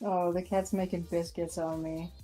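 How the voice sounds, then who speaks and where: A woman's voice: fairly low pitch, flat delivery, moderate pace, dark tone, soft background music, poor audio. A woman chatting casually as a guest on a stream, telling small stories in a playful, wry way. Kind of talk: casual chat.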